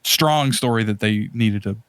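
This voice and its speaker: A man hosting a podcast in casual conversation, talking in a halting, rambling way as he searches for words. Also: on the low side, fairly bright tone, clear, resonant tone, no room sound. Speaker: podcast host.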